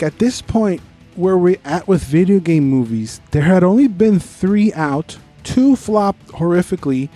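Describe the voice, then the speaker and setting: Higher-voiced man chatting casually about movies. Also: dark tone. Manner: informal, opinionated, swears freely. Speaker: podcast co-host reviewing movies. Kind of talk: casual movie review chat.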